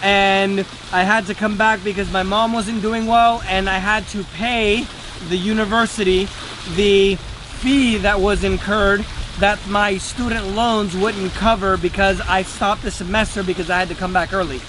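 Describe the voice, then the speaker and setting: A man's voice: sits high, fairly even intonation, measured pace, background noise. A man giving a casual, earnest motivational talk to camera, repeating words for emphasis. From Venezuela. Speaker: day trader and trading teacher.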